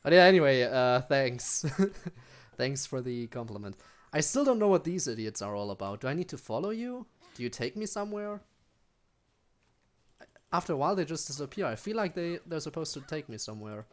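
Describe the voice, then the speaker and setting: A man's voice: a little high, sing-song, moderate pace. A young man with a German accent, a native German speaker, casually chatting with his chat while streaming a game, with frequent ums and restarts.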